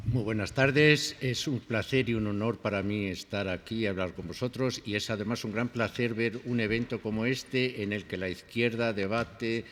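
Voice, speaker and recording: Deep-voiced male interpreter in a hall. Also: normal speed, few pauses, fairly bright tone. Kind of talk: consecutive interpreting.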